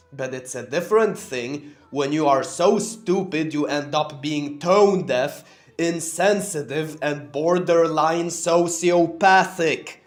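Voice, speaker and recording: A man hosting a commentary channel, narrating in a sarcastic, scornful way. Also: fairly high pitch. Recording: roomy room, faint music behind.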